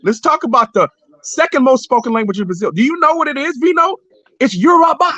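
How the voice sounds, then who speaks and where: A man's voice: extremely high pitch, expressive, fairly quick, pushed and bright, no room sound, highs cut off. A man hosting a livestream, arguing heatedly and repeating himself, sometimes swearing. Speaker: livestream host. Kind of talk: heated casual debate.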